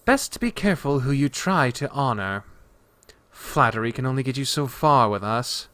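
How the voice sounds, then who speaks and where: A man's voice: mid-range voice, expressive, moderate pace, a bit bright, clean tone, no echo, natural voice. A man voicing a fierce, cocky female beast warrior and protective mother in a fantasy story, brash and sardonic. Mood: sardonic, wary.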